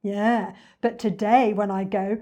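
A woman's voice: mid-range voice, fairly even intonation, slow pace, warm tone, close to an everyday voice. A woman voicing an enormous, boastful, wicked male crocodile in a storybook reading, gloating and lingering with relish on words.

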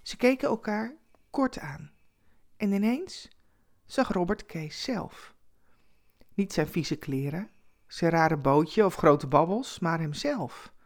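A woman's voice: fairly low pitch, expressive, takes it slowly, long pauses. A woman storyteller reading a scripted Christmas tale as dramatic narration.

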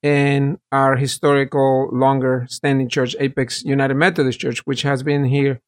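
A man's voice: mid-range voice, flat delivery, moderate pace. A middle-aged man from El Salvador, a pastor, explaining his work in detail and earnestly as a guest on an interview podcast.

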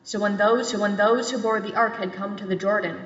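A woman's voice: medium pitch, fairly even intonation, fairly quick. A young adult woman reading scripture aloud as a church lector. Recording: echoey hall, long reverb, slightly dull.

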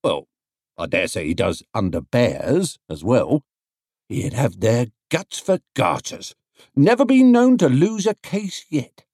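A man's voice: mid-range voice, big pitch swings, slow pace, darkish tone, breathy edge, no echo, clean studio sound, heavily acted. A man voicing chatty male market traders in a storybook, folksy, emphatic and full of advice. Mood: advising.

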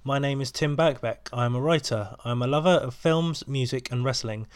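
A man's voice: mid-range voice, normal speed, smooth, no room sound. A man hosting his podcast, sounding bunged up from a cold and rambling with plenty of ums as he chats. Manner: rambling, many ums and restarts. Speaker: podcast host. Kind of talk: casual solo podcast intro.

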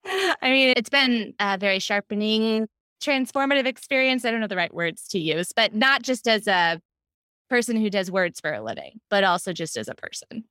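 Woman, mid-pitched voice, podcast guest, casual and reflective, long explanatory answers, dry sound. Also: animated, moderate pace, bright, forceful tone, smooth, no room sound. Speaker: podcast guest, book editor. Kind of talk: casual interview conversation.

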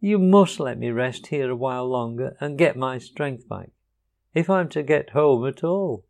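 A man's voice: medium pitch, animated, a little slow, gravelly, a little mellow. A man voicing a small, timid, worn-out male mole who speaks plaintively. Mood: frightened. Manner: frightened, plaintive.

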